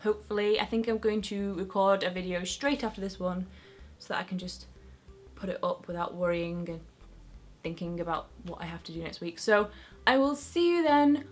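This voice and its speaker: A woman's voice: mid-range voice, moderate pace. A young woman with a Northern English accent, chatting casually to camera as a beauty vlogger in a rambling, self-mocking way.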